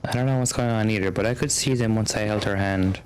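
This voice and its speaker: A woman's voice: very deep voice, quick pace, darkish tone, a little hiss or hum. A woman game streamer with a casual, wry manner, reading dialogue and reacting live. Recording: game music behind the voice.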